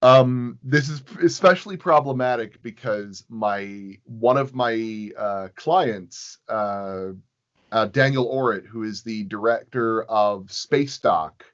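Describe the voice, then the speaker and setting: A man chatting casually as an interview guest, halting and often circling back to rephrase his thoughts. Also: on the low side, fairly bright tone, dull audio. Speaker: podcast guest, sound designer.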